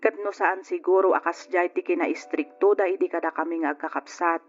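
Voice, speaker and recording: A woman's voice: lowish voice, steady tone, a little fast, close to an everyday voice. An actress playing a reflective grown daughter, narrating her memories dramatically in a radio drama. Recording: dull lo-fi radio with music.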